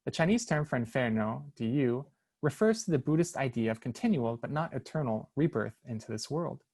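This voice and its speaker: A man's voice: mid-range voice, animated, brisk, darkish tone. A man presenting a prepared research paper at an online seminar, reading carefully with small hesitations over foreign names. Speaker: academic lecturer. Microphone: online seminar, computer mic.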